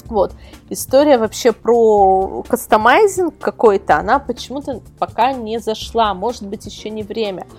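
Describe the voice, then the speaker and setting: A woman's voice: on the high side, expressive, moderate pace, a little mellow, soft background music. Woman guest explaining things informally. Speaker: guest, website editor and product reviewer. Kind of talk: conversational interview explanation.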